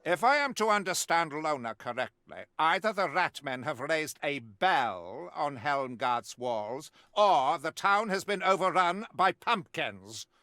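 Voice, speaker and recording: A man's voice: on the high side, animated, unhurried, hardly any pauses, sharp tone, dry recording. A male actor playing a stern, fanatical witch hunter in a video game, declaiming in pompous, archaic, theatrical speech. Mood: wry, disdainful.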